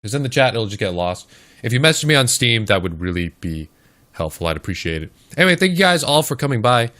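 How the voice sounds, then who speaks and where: A man hosting a live esports stream, casting matches in casual chat and talking straight to the viewers, his voice a little hoarse. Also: mid-range voice, sing-song, quick pace, sharp tone. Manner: chatty, talks straight to the chat. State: slightly hoarse. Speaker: esports caster and stream host.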